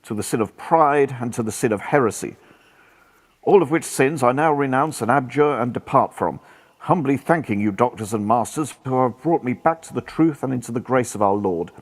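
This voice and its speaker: A man's voice: medium pitch, normal speed, lightly acted. A man playing a devout, earnest medieval friar in a radio play, speaking formally and solemnly. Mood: solemn reading. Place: actor's home, live remote recording.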